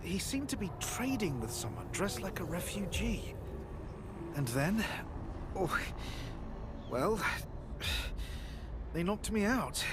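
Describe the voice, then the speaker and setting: An actor playing a timid, shaken male scientist in a video game, halting and stammering. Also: sits high, very animated, noisy background, heavily acted. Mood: shaken, grateful.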